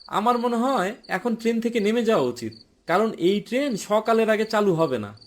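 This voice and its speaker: A man's voice: high voice, big pitch swings, normal speed. A man playing a young traveler in a horror cartoon, exclamatory and easily rattled. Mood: annoyed, skeptical. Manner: exclamatory, stammers when frightened. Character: weary, skeptical young male traveler who turns terrified.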